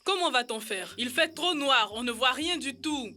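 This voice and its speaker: A woman's voice: high-pitched, lively intonation, moderate pace, sharp tone, soft background music. A woman playing an eager, curious young child in an educational children's cartoon dub. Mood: puzzled.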